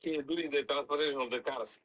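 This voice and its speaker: A man's voice: on the high side, fast, fairly bright tone. A man from Ukraine, calling into a live stream, chatting in a friendly way and mixing in Japanese words. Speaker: caller. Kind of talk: casual chat on a live stream.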